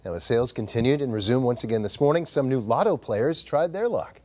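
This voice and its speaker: A man's voice: medium pitch, lively intonation, a little fast, a little mellow. An American man anchoring TV news, reading scripted stories.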